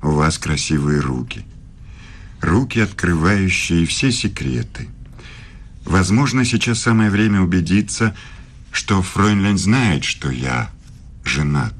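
A very low male voice, a middle-aged professor in a radio play. He courts in formal, measured phrases, intimate and insinuating. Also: animated, breathy edge, heavily acted. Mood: solemn, intimate. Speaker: radio drama actor.

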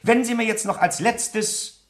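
A man's voice: high voice, fairly even intonation, a little slow. An actor playing a formal, pedantic history teacher in a radio play, officious and precise in his wording. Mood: brisk, questioning. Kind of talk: German radio play acting.

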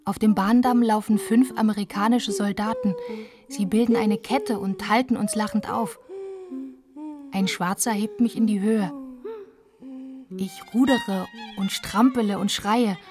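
A woman's voice: mid-range voice, steady tone, a little slow. A woman reading scripted first-person literary narration in short, simple sentences. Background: music.

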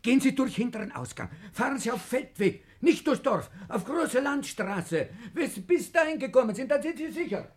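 An actor playing an agitated, loyal Polish villager in a 1950s radio drama, urgent and speaking in clipped, broken German. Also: male voice, very high voice, very animated, moderate pace, few pauses, breathy edge, exaggerated voice.

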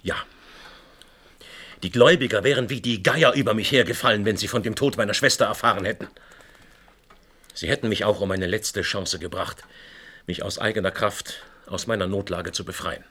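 A man acting in a radio drama as a proud, debt-ridden gentleman who confesses in a formal, grave, explanatory manner. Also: fairly low pitch, normal speed, long pauses. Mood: grave confession. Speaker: actor in a radio play.

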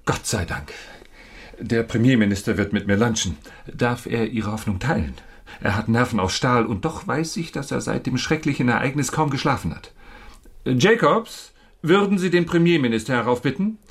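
An actor playing an anxious, proud government minister, speaking formally and emphatically in a German radio drama. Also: male voice, medium pitch, lively intonation, moderate pace, slightly rough. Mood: anxious, polite.

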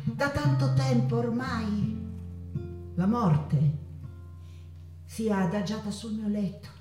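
An actress playing an ailing, feverish woman painter who pleads with a friend in halting, theatrical phrases in a stage monologue. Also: fairly high pitch. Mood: wistful. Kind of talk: stage monologue over guitar. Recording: roomy stage, distant mic, guitar behind.

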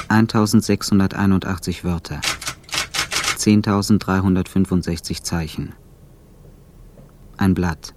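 An actor playing a detached, meticulous man itemising evidence in a radio drama, deadpan and pedantic. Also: deep voice. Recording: clear background noise.

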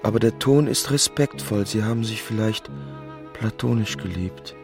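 A man's voice: lowish voice, normal speed, music in the background, lightly acted. An actor playing a brooding, stubborn widower mourning his wife in a radio play. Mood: puzzled, hopeful. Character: grieving, stubborn, trusting widowed husband.

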